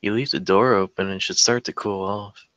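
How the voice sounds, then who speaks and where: Woman playing caring no-nonsense aunt, forties, forceful bright voice, dry table-read sound. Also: a bass voice, fairly even intonation, moderate pace, no echo, dull audio. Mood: caring, probing. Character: caring, direct forty-four-year-old aunt, an EMT. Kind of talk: scripted table-read acting.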